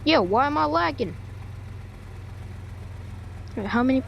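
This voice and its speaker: A woman giving excitable live gameplay commentary, with frequent short repeated exclamations. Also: high voice, a little slow, creaky, lo-fi sound, slight background noise. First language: Thai. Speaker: gamer playing a storm-chasing game.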